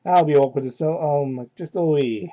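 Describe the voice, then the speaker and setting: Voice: medium pitch, normal speed, dark tone, lo-fi sound. A person giving a mocking dramatic reading of fan fiction, breaking off into exasperated, incredulous, foul-mouthed asides, on a poor-quality hobby microphone. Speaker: amateur reader of fan fiction.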